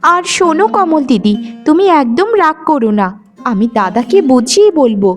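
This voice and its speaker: A woman's voice: high-pitched, sing-song, moderate pace, faint music behind. A woman voicing a haughty, cruel young sister-in-law in a melodramatic cartoon, sneering and taunting. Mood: plotting. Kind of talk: melodramatic moral-story cartoon acting.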